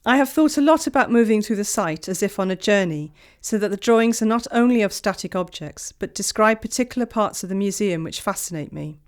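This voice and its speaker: Calm middle-aged woman from Wales narrating. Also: mid-range voice. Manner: calm, measured, reflective. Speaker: artist. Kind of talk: scripted voice-over narration.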